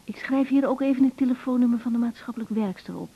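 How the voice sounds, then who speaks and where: High-voiced caring young doctor. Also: female voice, fast talker, breathy edge. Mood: calm, concerned. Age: young adult. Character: caring young female doctor, gentle, overworked, self-reproaching. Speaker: general practitioner in a radio drama. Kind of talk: naturalistic 1980s Dutch radio drama acting. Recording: slightly dull 1980s radio recording.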